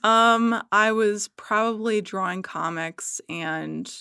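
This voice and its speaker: Creaky-voiced woman in casual chat. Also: medium pitch, pushed and bright, no echo, studio quality. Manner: halting, searching for words, prone to chuckling. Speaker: podcast guest, writer and illustrator. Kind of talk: casual enthusiastic podcast chat.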